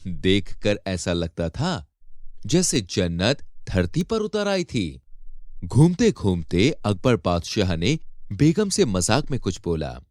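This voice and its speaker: A man's voice: on the low side, big pitch swings, slow, darkish tone, dry recording, clean studio sound. A man narrating a scripted moral story for children as a storyteller.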